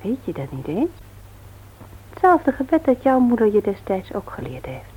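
A woman's voice: medium pitch, very animated, moderate pace, husky, phone-quality sound. An actress playing a playful but earnest, devout wife and mother in a naturalistic radio drama. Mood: earnest reproach. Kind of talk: naturalistic Dutch radio play acting.

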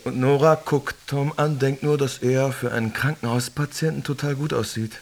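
An actor playing a weary, disgusted narrator in a literary radio drama. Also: male voice, mid-range voice, fairly even intonation, moderate pace. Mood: detached. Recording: clear hiss and background noise.

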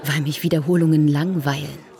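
An actress playing a proud young princess in a radio drama, curt and easily wounded. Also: female voice, low voice, steady tone, brisk, creaky, mellow. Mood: dismissive.

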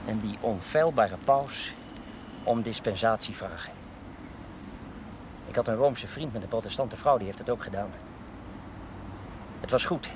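An actor playing a playful, ardent young suitor in a television drama, teasing and eagerly insistent. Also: male voice, on the low side, unhurried, pauses often, noisy background, natural voice. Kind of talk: 1970s Dutch television drama acting.

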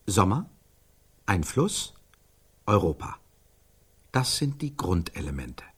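An actor playing a deliberate, weighty storyteller with a husky voice in a radio drama. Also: male voice, low voice, sing-song, heavily acted. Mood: solemn. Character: deliberate, weighty man outlining a tragic story. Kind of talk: radio drama acting, spare declamation.

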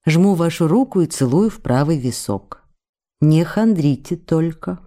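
A woman's voice: low-pitched, lively intonation, unhurried, warm tone. An actress playing an emotional, affectionate young stage actress who reads her candid letters expressively and intimately in a radio drama. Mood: bright, coquettish.

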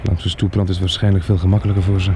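An actor playing a level-headed, measured male survivor who also narrates a radio drama. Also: sits low, level tone, noisy background. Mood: casual, practical.

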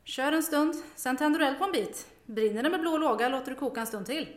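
An actress playing a brisk, unflappable plotter in an audio drama, wry and matter-of-fact, in an echoey room. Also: female voice, high voice, animated, soft background music. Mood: wry, practical. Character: brisk, unflappable, pragmatic woman resistance schemer. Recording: echoey room, faint noise.

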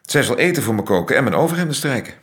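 A man's voice: medium pitch, big pitch swings, racing, a bit bright. An actor in a radio play playing an ironic, worldly male astronomer with a dry wit. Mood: wry. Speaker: Max, astronomer. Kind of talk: radio drama acting.

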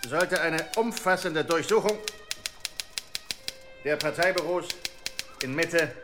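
An actor in a German radio drama playing a pompous, condescending police chief inspector who dictates in clipped, officious phrases. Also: male voice, fairly high pitch, slow pace, noisy background.

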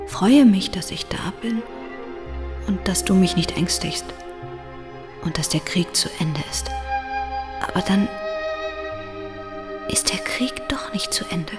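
Troubled young woman for radio drama: halting, breathy, whispery, long reverb, music underneath. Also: medium pitch. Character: troubled young Alsatian woman facing her former lover. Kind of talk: German radio play acting.

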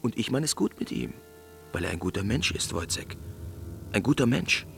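An actor playing a pompous, anxious army captain in a German radio drama, rambling and repeating himself sentimentally. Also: male voice, fairly low pitch, big pitch swings, normal speed, gravelly, faint music behind. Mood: blustering.

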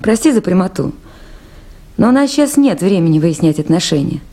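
An actress playing a resolute, earnest woman in charge of a river station in a radio play, speaking firmly and with measured authority. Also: lowish voice, very animated, dark tone. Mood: earnest, pleading. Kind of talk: 1980s radio play acting. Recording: faintly noisy 1980s radio drama recording.

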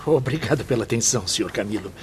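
A man's voice: fairly low pitch, animated, fast talker, background noise, lightly acted. Actor as a solemn, resigned penitent man. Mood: earnest, reflective. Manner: solemn, reflective, formal. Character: earnest, resigned male penitent spirit, formerly wild. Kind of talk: radio drama acting.

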